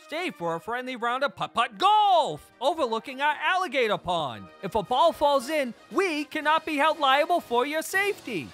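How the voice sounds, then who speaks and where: An actor playing a sleazy pitchman for a roadside animal park in a parody radio ad, rambling in folksy salesman patter. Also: very animated, moderate pace, hardly any pauses, fairly bright tone, soft background music, full character voice. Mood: salesy. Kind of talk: parody local radio ad read.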